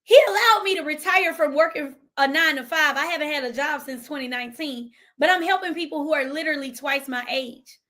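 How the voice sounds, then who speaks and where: A woman's voice: sits high, brisk, few pauses, bright, forceful tone. A woman, talking emphatically on a livestream in a preachy way and reading viewer comments aloud. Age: 30s. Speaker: financial strategist and livestream host. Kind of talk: live stream motivational talk.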